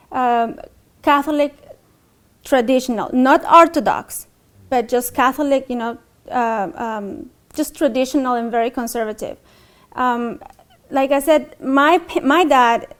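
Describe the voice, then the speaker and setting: A young adult woman from Mexico with a Mexican Spanish accent, telling her life story in a documentary interview, hesitant, with many fillers and restarts. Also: high voice.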